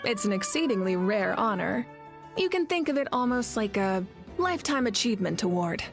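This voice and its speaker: A woman voicing a confident, warm female fire archon and war leader in a video game, assured and stately. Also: mid-range voice, lively intonation, moderate pace, soft background music, natural voice.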